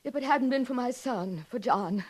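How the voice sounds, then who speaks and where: Stranded, pleading mother, middle-aged: high pitch, melodramatic, tearful and halting, 1950s radio drama. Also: expressive, breathy edge. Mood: desperate pleading.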